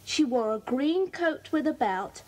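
A woman's voice: sits high, animated, a little slow, darkish tone. A woman with a British accent playing a keen, observant schoolgirl in a children's audio drama, describing things precisely.

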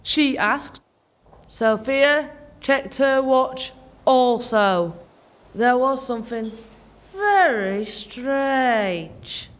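Slow, high-voiced woman storyteller. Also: lively intonation. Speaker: narrator. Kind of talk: scripted children's story narration.

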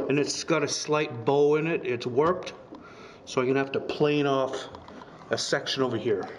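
Male technician, 50s, casual explainer for a DIY vlog, strongly reverberant room, noisy camera audio. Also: fairly high pitch. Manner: plain-spoken, explanatory. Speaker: piano technician. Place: small indoor piano practice room. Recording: very echoey room, clear background noise.

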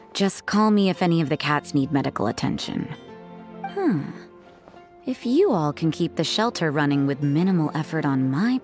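A woman's voice: lowish voice, darkish tone. A woman voicing an aloof young nun who speaks in a cool, dry, sardonic way. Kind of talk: video game voice acting.

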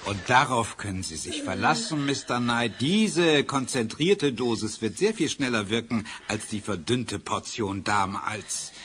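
A man's voice: medium pitch, lively intonation, unhurried, few pauses, noisy background, close to an everyday voice. A man playing a mock-courteous, sardonic villain in a German radio play. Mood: smug, menacing. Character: smug, mock-courteous male villain with deadly poison.

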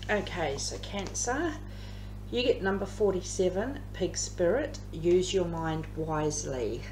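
A woman in her fifties with an Australian accent and a slightly croaky voice, chatting her way through a tarot card reading at home, rambling with plenty of ums. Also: on the low side, lively intonation, unhurried, darkish tone. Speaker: tarot reader. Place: living room at home. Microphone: camera across the table. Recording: echoey room with background hum.

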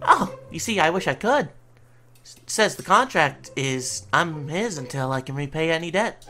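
A brash, flirty demon guy acted by a woman in a cartoon comic dub, low for a female voice and swinging widely in pitch. He talks in sassy slang and sugary pet names. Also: lively intonation, moderate pace, pushed and bright. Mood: candid, wry.

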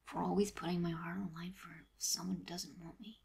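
A woman's voice: lowish voice, flat delivery, a little mellow, exaggerated voice. Woman whispering as a lovelorn young superhero boy. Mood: wistful. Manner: breathy whispering, halting. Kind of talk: fan audio drama.

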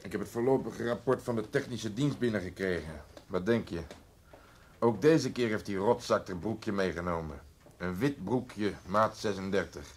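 Low-pitched man, gruff overworked detective, acted by a man, blunt, sardonic, radio play style. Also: moderate pace, close to an everyday voice. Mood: dry. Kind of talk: Dutch radio drama acting.

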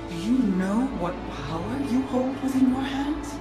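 An actress playing a cool, regal older sister in a video game, speaking in a stately, restrained way. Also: female voice, fairly high pitch, expressive, a little slow. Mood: cool, wistful. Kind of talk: game dialogue. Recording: echoey, music behind.

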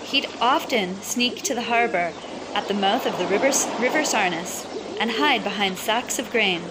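A woman reading a children's picture book aloud in an expressive, dramatic storytelling style. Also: medium pitch, normal speed. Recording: loud music and crowd sounds underneath. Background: music and crowd noise.

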